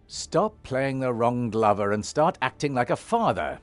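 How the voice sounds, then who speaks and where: Expressive, earnest game hero. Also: male voice, medium pitch, no echo, faint music behind. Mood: calm, firm. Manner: measured, earnest. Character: calm, firm male heroic warrior and peacemaker. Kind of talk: fantasy game acting.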